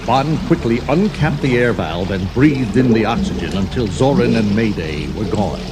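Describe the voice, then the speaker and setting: A man's voice: fairly low pitch, animated, normal speed, a loud crowd. A man narrating a scripted children's adventure story as the storybook's reader. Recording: 1980s storybook cassette with music underneath. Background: background music.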